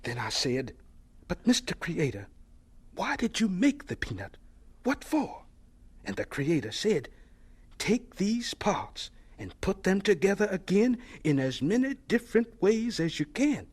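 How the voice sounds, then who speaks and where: An actor playing a gentle, devout older professor in a radio drama, with a thin, breathy voice and a stammering, reverent manner. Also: male voice, sits high, sing-song, exaggerated voice. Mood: excited, reverent. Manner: halting, stammering, slow and reverent.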